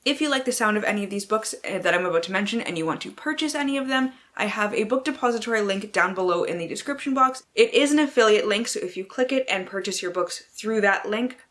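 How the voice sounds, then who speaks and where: A woman's voice: mid-range voice, animated, fast, few pauses, a bit bright. Theatrical, sweary young-sounding woman book vlogger. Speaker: book vlogger, channel host. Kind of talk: casual chat to camera with playful dramatic book-blurb readings.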